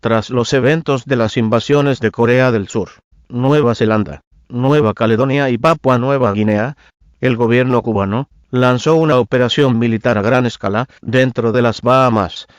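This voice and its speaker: A man's voice: medium pitch, fairly quick, no room sound, lo-fi sound. A man reading a formal scripted national emergency broadcast as a government announcer.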